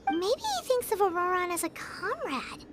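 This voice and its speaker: An actress voicing an excitable small girl companion in a video game, expressive and childlike. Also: very high-pitched, moderate pace, over music. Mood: thoughtful.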